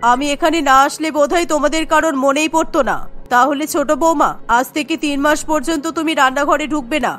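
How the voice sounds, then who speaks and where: A woman's voice: high-pitched, fairly bright tone, pitched below the performer's own voice. A woman voicing a domineering older aunt, an imperious scolding rule-keeper in a cartoon family drama. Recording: music bed under the voice.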